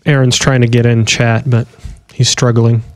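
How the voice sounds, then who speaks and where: A man co-hosting a podcast, explaining things in a relaxed, casual way. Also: medium pitch, moderate pace, dry recording. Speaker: podcast co-host.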